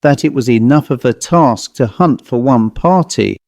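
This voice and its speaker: A man reading a horror story as an audiobook narrator, in a measured, literary, theatrical way. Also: mid-range voice, vocal fry, darkish tone, dry recording, studio quality.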